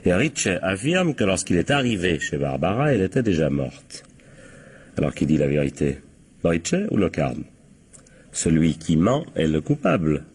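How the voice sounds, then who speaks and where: A man playing a shrewd, ironic police captain who deliberately corners suspects in a French radio crime drama. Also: sits low, sing-song, darkish tone, husky, full character voice. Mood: sly, ironic. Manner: deliberate, ironic, teasing rhetorical questions.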